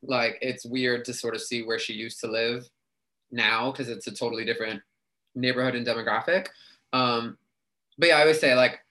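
A man's voice: medium pitch, fairly even intonation, normal speed, bright, forceful tone. Chatty young New man. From New York City. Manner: talkative, chatty with frequent fillers. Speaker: guest. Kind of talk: casual interview chat.

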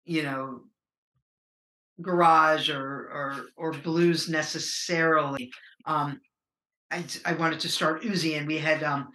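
A middle-aged American woman with a low voice, a musician talking casually in an interview, rambling and hesitant with many fillers. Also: steady tone, takes it slowly, sharp tone, echoey room. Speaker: musician guest.

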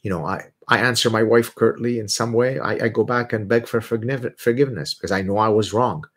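A middle-aged man from Lebanon, Arabic his first language, speaks in a somewhat low voice. He talks bluntly and with lots of emphasis, answering viewers casually on a livestream. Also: fairly quick, breathy edge. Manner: animated, blunt, emphatic, mocking voices when ranting.

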